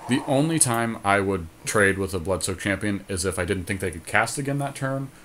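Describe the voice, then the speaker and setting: A man's voice: fairly low pitch, lively intonation, brisk, a bit bright. A man in casual gameplay commentary, analytically talking through his decisions as a podcast co-host. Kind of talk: casual gameplay commentary on a card game draft.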